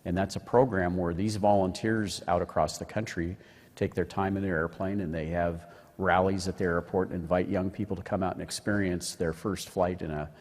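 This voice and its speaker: Man, conference panelist, very low voice, informal presenting style, reverberant hall. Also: steady tone, normal speed, darkish tone. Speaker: panelist, aviation association president. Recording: echoey hall with long reverb.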